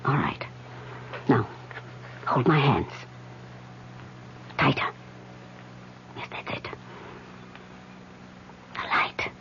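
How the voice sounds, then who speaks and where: An actress playing a solemn woman medium in a radio drama, speaking deliberately in a hushed, portentous way. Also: very deep voice, expressive, in a whisper, exaggerated voice. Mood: entranced, strained. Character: solemn woman spirit medium holding seances. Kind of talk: 1970s radio drama acting. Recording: dull, hissy old radio recording.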